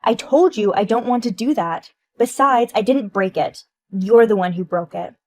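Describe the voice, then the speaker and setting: A woman voicing Emma, an entitled young wife who dodges blame, in a shrill, whiny and indignant voice. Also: normal speed, no echo, natural voice. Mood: indignant whining.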